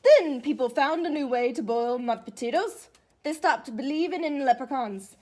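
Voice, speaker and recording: Storybook leprechaun cobbler, small, old and male female reader, high voice, feisty, proud, folksy boasting. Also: moderate pace, darkish tone. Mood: proud, storytelling. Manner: boastful, folksy Irish-flavored storybook phrasing.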